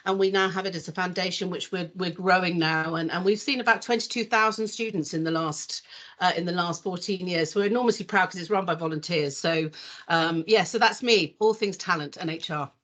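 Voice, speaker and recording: Woman panel guest on video call, lowish voice, chatty, relaxed, slight filler words. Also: brisk. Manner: warm, chatty, with frequent ums and light laughs.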